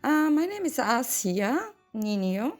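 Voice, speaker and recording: A woman, an adult violin student speaking in a documentary interview, measured and hesitant with many pauses. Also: on the high side, sing-song. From Bulgaria. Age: 60s.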